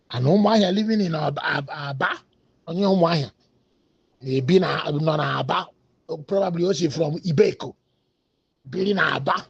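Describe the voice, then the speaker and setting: A man's voice: on the high side, vocal fry, husky, dry recording. A man with a Nigerian Igbo accent, a native Igbo speaker, giving rambling casual commentary that switches between English and Igbo with many hesitant fillers. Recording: dull lo-fi recording.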